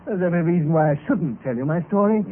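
A man with a British accent playing a ruined young gentleman, flamboyant, courteous and gaily fatalistic, in old-time radio drama style. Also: a little high, lightly acted. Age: young adult. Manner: florid, courteous. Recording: tinny, hissy old radio recording.

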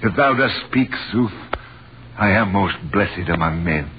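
An actor playing a humble, grateful physician of biblical times, earnest and formal, in 1930s radio style. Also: male voice, sits low, lively intonation, a little slow. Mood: humble, awed. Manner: earnest, formal archaic diction. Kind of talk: 1930s radio acting, archaic biblical speech. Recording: muffled noisy 1930s radio recording.

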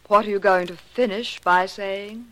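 An actress playing a composed, guarded woman scientist with brisk, direct replies in a 1940s radio drama. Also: medium pitch, fairly even intonation, a bit bright, close to an everyday voice. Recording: faintly noisy 1940s radio recording.

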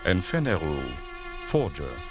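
A man narrating an old radio adventure drama in a grave, deliberate, portentous manner. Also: very deep voice, sing-song. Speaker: narrator and announcer. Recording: dull old radio recording, music behind. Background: dramatic music.

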